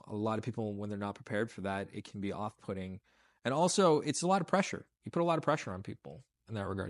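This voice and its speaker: A man's voice: on the low side, dry recording, clean studio sound. Reflective man, former casting pro. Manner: reflective, searching for words. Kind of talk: interview commentary.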